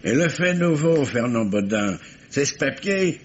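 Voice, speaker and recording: An actor playing an insistent, sardonic police commissioner who questions methodically, with stammered repetitions, in the style of a 1950s French radio crime drama. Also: male voice, medium pitch, lively intonation, measured pace, faint music behind, close to an everyday voice. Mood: methodical, pressing. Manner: probing, stammering repetitions, ironic. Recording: muffled, noisy old radio recording.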